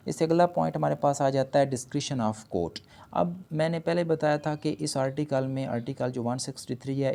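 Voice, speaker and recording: A man with a Pakistani accent giving an explanatory law lecture, switching between explanation and slowly read English legal phrases. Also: medium pitch, warm tone. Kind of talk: explanatory lecture, reading out points and explaining them.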